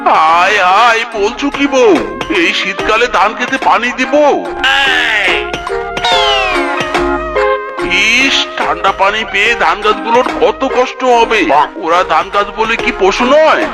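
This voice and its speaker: Man doing high-pitched foolish cartoon fox husband. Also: normal speed, close to an everyday voice. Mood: dismayed, pleading. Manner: exaggerated wailing, self-pleased exclamations. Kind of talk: Bengali comedy cartoon acting. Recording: music under the voice.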